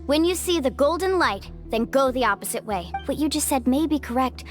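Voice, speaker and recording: A woman playing a confident, upbeat young female guide in a video game, brisk and encouraging, with music behind. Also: sits high, expressive. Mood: serious, thoughtful. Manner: brisk, encouraging, take-charge.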